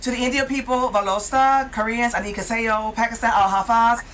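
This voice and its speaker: A woman hosting a talk show, chatting casually and gushing with animated praise. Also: fairly high pitch, brisk, a little hiss or hum, low-quality recording. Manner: animated, effusive, exclaiming praise. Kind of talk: casual chat.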